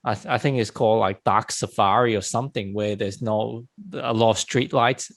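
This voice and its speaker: A man's voice: fairly low pitch, fairly even intonation, normal speed, vocal fry, no echo. A man from Hong Kong hosting an interview in a casual, conversational way, full of "like" and "um". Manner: casual, many "like" and "um" fillers. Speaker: host.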